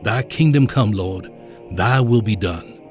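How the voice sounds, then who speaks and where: An actor playing a pious, coolly menacing evangelical reverend in an audio drama, with a measured, preacherly delivery. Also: male voice, fairly low pitch, over music, lightly acted. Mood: fervent, preaching. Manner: preacherly, measured, self-assured.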